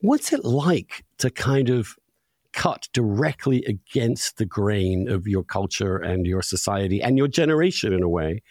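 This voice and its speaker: A man hosting an interview, putting long, discursive questions in a deliberate, thoughtful way. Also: lowish voice, very animated, darkish tone, no room sound, clean studio sound. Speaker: podcast host, interviewer.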